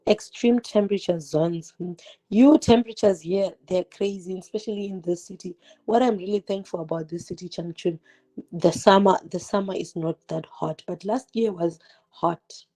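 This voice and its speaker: Young zimbabwean woman on a kitchen livestream, lowish mellow voice, lots of "like"s. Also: moderate pace, poor audio. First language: not English. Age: 20s. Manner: chatty, direct, rhetorical questions, many "like" fillers; multitasking, cooking while talking. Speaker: YouTuber hosting a livestream. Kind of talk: casual livestream chat. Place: home kitchen. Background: fireworks outside.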